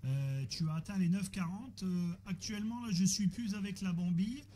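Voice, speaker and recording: A man's voice: sits high, normal speed, mellow. A man chatting casually as an amateur radio operator, hesitant and often repeating himself. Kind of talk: casual radio chat, technical troubleshooting. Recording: noisy amateur radio audio with static.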